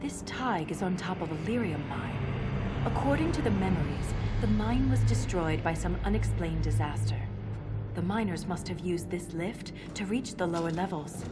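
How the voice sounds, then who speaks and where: A woman's voice: lowish voice, lively intonation, normal speed, close to an everyday voice. Woman as a scholarly dwarf researcher, music behind. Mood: intrigued. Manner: scholarly, pointed. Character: scholarly female dwarf, earnest, sharp-tongued researcher. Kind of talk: video game cutscene acting. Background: game music.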